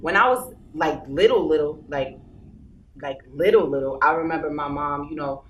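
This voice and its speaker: A woman's voice: sits low, moderate pace, fairly bright tone. A young woman chatting casually with friends, talking emphatically and telling stories. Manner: emphatic and talkative, storytelling. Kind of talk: casual group chat. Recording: roomy room, distant mic, faint noise.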